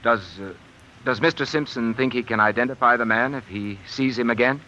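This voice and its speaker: A man acting as an anxious academic in a vintage radio mystery. His voice is piercingly bright and tense, with a halting, guilty hesitancy. Rain sounds behind the old broadcast. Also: medium pitch, muffled recording, a little hiss or hum, lightly acted. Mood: nervous, guarded. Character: anxious, hesitant male university professor hiding guilt. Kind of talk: 1950s radio drama acting.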